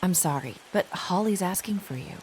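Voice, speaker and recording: A woman playing a soft-spoken, hesitant young woman in a dark fantasy video game, gentle and tentative. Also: fairly low pitch, animated, normal speed, close to an everyday voice. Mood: hesitant, apologetic. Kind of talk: dramatic video game acting. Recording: faint rain noise behind the voice.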